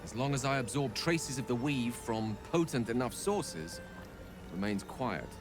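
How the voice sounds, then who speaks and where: An actor playing a learned, earnest wizard in a fantasy video game, speaking in florid, measured, dramatic phrases. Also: male voice, mid-range voice, lively intonation, over music, close to an everyday voice. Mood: somber. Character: learned male wizard, eloquent, theatrical, earnestly remorseful.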